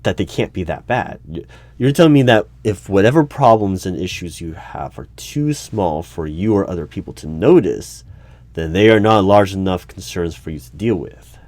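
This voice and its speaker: A low-pitched hiring manager at a secret bureau, played by a man in an audio roleplay. He is officious and exasperated, arguing in halting stretches and rewording his points as he questions a candidate. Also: expressive, darkish tone, no echo. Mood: baffled, argumentative. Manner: halting, argumentative, officious, repeats and rephrases. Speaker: solo roleplay performer. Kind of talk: one-sided audio roleplay interview. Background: computer keyboard typing.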